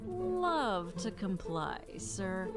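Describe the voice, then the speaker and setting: Sardonic cartoon alien cat officer played by a woman, deferential, unhurried and expressive, loud music behind. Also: mid-range voice, exaggerated voice. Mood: dryly exasperated.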